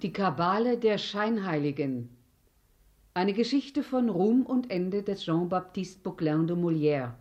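A woman's voice: lowish voice, lively intonation, measured pace, a little mellow, lo-fi sound, soft background music. A woman reading a scripted radio drama title announcement.

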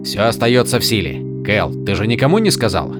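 A man's voice: on the low side, big pitch swings, a little fast, loud music behind the voice. A man voicing an insistent young soldier in a fantasy audiobook, urgently persuading and sharply questioning a comrade.

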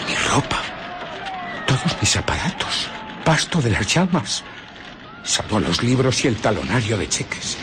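An actor playing a bitter, haunted scientist and fugitive, recounting his ordeal in a radio play with theatrical, deliberate pauses. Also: on the low side, noisy background. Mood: anguished. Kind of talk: dramatic radio play narration.

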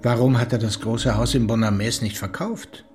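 An actor playing a matter-of-fact police investigator in a radio play, questioning soberly. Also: male voice, medium pitch, fairly even intonation, moderate pace, darkish tone, faint music behind, lightly acted. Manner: sober, probing. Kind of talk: radio drama acting.

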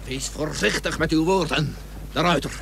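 An actor playing a proud, hot-headed naval commander in a historical radio play, declaiming defiantly in short phrases. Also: male voice, fairly high pitch, moderate pace, vocal fry, fairly bright tone. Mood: indignant, proud. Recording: old radio recording with faint hum.

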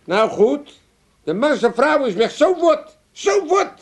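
Older man, Amsterdam accent, high voice that swoops widely; blunt, heartless, impatient grumbler for radio comedy. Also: very animated, slow pace, heavily acted. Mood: gruff, dismissive. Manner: grumbling, impatient, working-class wisecracking. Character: cantankerous heartless old Amsterdam father, bossy and blunt. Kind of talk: comedic radio drama acting.

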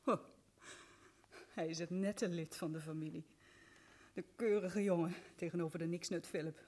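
An actress playing a young woman accused of murder in a radio play, halting and pleading. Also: fairly low pitch, steady tone, warm tone, lightly acted. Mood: bitter. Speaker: accused prisoner.

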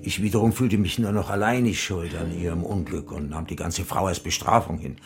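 An actor playing a dying old widower in a German radio crime drama, creaky-voiced, self-accusing, morbid and submissive. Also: male voice, sits low, brisk. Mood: bitter, resigned. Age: older.

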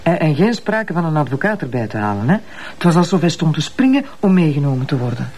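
A Flemish actress playing a candid, sceptical deputy prosecutor in a naturalistic TV crime drama. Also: female voice, low voice, big pitch swings, quick pace, a little mellow, slightly rough, heavily acted. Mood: uneasy. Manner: colloquial, questioning.

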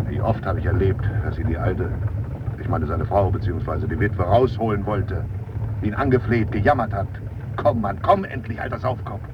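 A judgmental male gossip, played by an actor in a radio play. His voice is on the low side and his talk is dry and conversational. The recording is muffled, with clear noise. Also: natural voice. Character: blunt, disapproving gossiping male mourner. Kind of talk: naturalistic radio drama dialogue.

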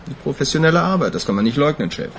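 A man's voice: on the high side, sing-song, rapid-fire, a bit bright, a little hiss or hum. An actor playing a cautious, deferential male henchman in a radio drama, speaking in a businesslike way.

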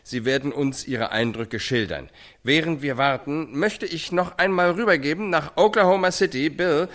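An actor playing a professional news correspondent reporting live on location in a radio drama. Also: male voice, on the high side, normal speed, fairly bright tone, natural voice. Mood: businesslike.

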